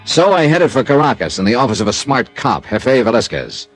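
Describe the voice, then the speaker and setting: An actor playing a tough, wry insurance investigator in a classic radio drama, clipped and sardonic. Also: male voice, medium pitch, very animated, a little fast. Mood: dry narrating. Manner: clipped, sardonic, hard-boiled. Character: tough, wry freelance insurance investigator, narrating his case. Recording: vintage radio drama with music.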